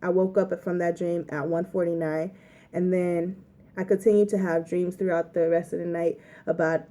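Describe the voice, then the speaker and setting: Young woman, vlogger, low mellow voice, chatty rambling storytelling, casual. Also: flat delivery, moderate pace. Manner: chatty, lots of "like" fillers, rambling retelling.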